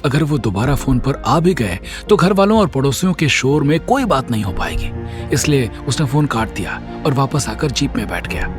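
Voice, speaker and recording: A man narrating a scripted audio drama series in a dramatic storytelling style. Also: mid-range voice. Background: music.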